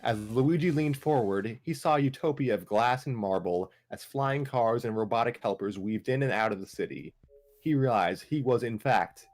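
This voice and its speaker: A man's voice: mid-range voice, moderate pace, darkish tone, faint music behind. A man narrating a scripted comedy story as part of an amateur read-through.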